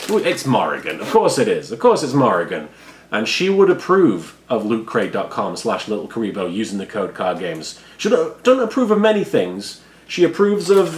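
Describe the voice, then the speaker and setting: Hoarse man rambling through an unboxing. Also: medium pitch, a little hiss or hum. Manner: self-deprecating, rambling, quiet-natured. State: hoarse, froggy voice after studio work. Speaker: YouTuber. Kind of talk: casual unboxing vlog. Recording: echoey room with faint background ambience.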